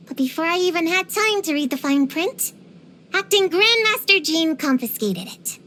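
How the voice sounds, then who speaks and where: A woman's voice: very high-pitched, lively intonation, measured pace, pushed and bright, a little hiss or hum, exaggerated voice. A woman voicing a precocious, feisty little girl in a video game, earnest and theatrical with grown-up wording. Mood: earnest, chatty. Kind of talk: scripted video game character voice lines.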